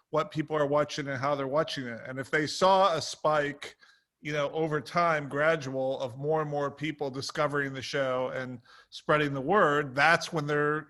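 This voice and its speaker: A man's voice: on the high side, bright, forceful tone. A man talking in an earnest, explanatory way during a casual panel discussion.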